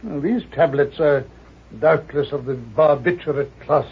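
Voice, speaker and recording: Self-important radio-drama doctor, stiffly formal and reserved; slightly husky, on a dull vintage recording. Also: male voice, on the high side, unhurried, exaggerated voice. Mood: clinical, stern. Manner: pompous, precise, hesitant formal phrasing. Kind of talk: old-time radio mystery acting.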